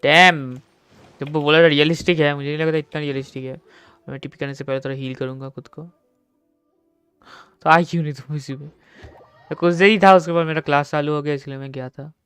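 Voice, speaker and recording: A man's voice: a little high, fairly even intonation, rapid-fire, pauses often, fairly bright tone, clean tone, dry recording. A young man with an Indian accent giving casual live-stream gaming commentary into a close microphone.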